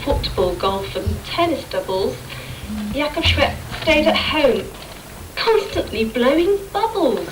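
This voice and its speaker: A woman's voice: high voice, big pitch swings, takes it slowly. A woman giving scripted rhyming storytelling narration as the narrator of an amateur pantomime. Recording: distant, noisy amateur stage recording.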